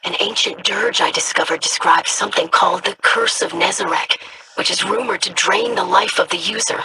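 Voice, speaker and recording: A woman voicing a measured, studious young alien scholar in a video game. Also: mid-range voice, noisy background, lightly acted. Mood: thoughtful. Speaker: researcher.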